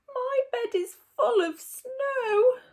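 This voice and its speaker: A woman's voice: extremely high pitch, darkish tone, voice pushed up, exaggerated voice. A woman voicing a cold, cross little squirrel in a children's storybook, plaintive and complaining. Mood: whiny, miserable. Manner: whiny, plaintive, drawn-out complaining.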